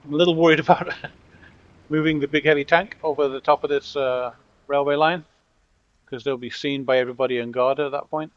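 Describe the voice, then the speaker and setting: A middle-aged man from Britain with a blended British and American accent, giving relaxed, wry commentary over a strategy game and joking with his units. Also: a little high, fairly quick. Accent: mixed British and American. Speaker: gameplay commentator. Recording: dull lo-fi audio.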